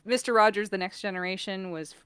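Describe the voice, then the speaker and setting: An American woman chatting casually as a guest, playful and quick to joke. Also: medium pitch, steady tone, a bit bright, clear, resonant tone, no room sound. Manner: playful, joking. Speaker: voice actor guest. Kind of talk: casual chat.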